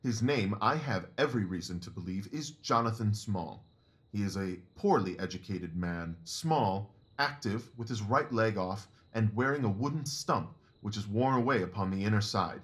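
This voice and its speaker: A man playing a shrewd, commanding Victorian gentleman detective in an audio drama, precise, assured and dryly superior. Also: fairly low pitch, expressive, moderate pace, slightly rough. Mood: assertive.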